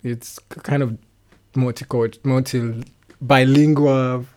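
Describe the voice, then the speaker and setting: A man's voice: mid-range voice, steady tone, slow pace, darkish tone. A young Nigerian man with a Nigerian accent, a musician guest in a casual interview, laughing often and restarting his words.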